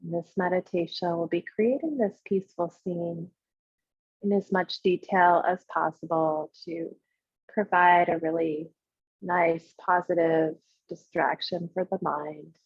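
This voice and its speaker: A woman leading a guided visualization as a meditation guide, speaking in short, unhurried instructional phrases with pauses between them, in a slightly husky voice. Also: lowish voice, steady tone. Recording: muffled, roomy, echoey sound.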